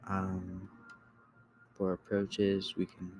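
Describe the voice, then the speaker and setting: A man giving a casual screen-recorded software tutorial, halting as he thinks aloud while he works. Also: low voice, monotone, soft background music. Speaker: tutorial creator.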